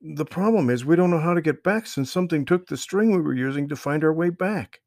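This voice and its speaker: A man's voice: a little high, expressive, brisk, darkish tone, no room sound, studio quality, pitched above the performer's own voice. A man voicing a thoughtful young boy, an older brother, in a raised boyish voice. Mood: earnest.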